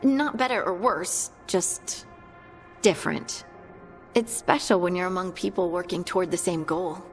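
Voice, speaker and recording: A woman playing a warm, earnest young scout in a fantasy video game, chatting in a friendly, conversational way. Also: fairly low pitch, a little slow, faint music behind. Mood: reflective. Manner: chatty, friendly, lightly amused.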